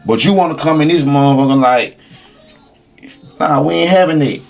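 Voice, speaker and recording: A man's voice: on the high side, moderate pace, vocal fry, faint music behind. A man chatting casually on a podcast, informal and emphatic with profane street slang. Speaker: podcast speaker.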